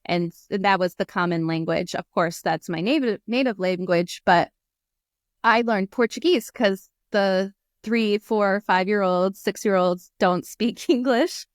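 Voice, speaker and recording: American woman in her 30s, smooth clear voice, chatty and laughy, dry sound over earbuds. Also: mid-range voice, a little slow, no echo. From United States. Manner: chatty, laughs often, many fillers. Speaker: guest. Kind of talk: casual interview chat. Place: small room indoors. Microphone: earphone headset on video call.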